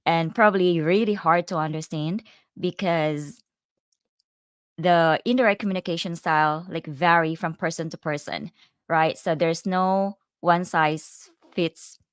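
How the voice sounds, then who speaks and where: A woman's voice: sits low, smooth, dry recording, studio quality. A woman from the Philippines with a Filipino accent, chatting casually to her viewers on a livestream and greeting the live chat as she goes. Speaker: host of a livestream.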